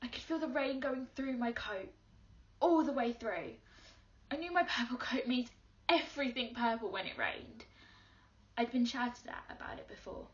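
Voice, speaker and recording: An actress playing a lonely schoolgirl caught in the rain, speaking quietly and reflectively in a dramatic monologue. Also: fairly high pitch, expressive, moderate pace, dull audio, natural voice. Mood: wistful. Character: soaked lonely schoolgirl in purple coat.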